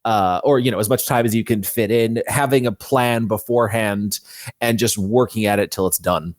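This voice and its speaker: A man's voice: fairly low pitch, moderate pace, no echo, studio quality. A man chatting casually as an interview guest, explaining things in an informal way. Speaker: guest, fantasy author.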